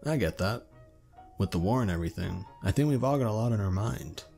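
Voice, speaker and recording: Audio drama young male dragon, male actor: easygoing, observant, fry-edged, soft dark tone. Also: lowish voice, animated, brisk, faint music behind. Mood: understanding.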